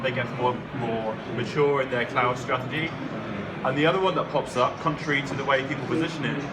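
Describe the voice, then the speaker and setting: An Australian man giving a conference talk, explaining in a plain way with frequent ums. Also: medium pitch. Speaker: conference speaker. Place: conference hall. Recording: distant mic, loud background hum.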